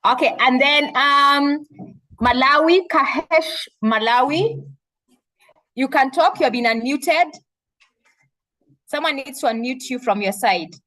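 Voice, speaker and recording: High-voiced woman moderating a video call. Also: unhurried, pauses often, pushed and bright, rough recording. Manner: prompting and repeating participants' words. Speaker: moderator of an online summit. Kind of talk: hosting a video call, calling on participants.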